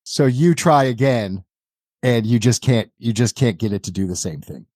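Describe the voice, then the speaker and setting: A man's voice: mid-range voice, lively intonation, moderate pace, a little mellow, no echo, studio quality. A man acting as game master, giving rulings and improvised narration in a casual conversational way.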